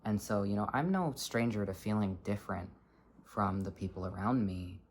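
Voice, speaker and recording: A person in their twenties with a low voice, talking earnestly in a halting, reflective personal vlog. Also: a little hiss or hum. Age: 20s. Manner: earnest, halting, searching for words. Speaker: vlogger.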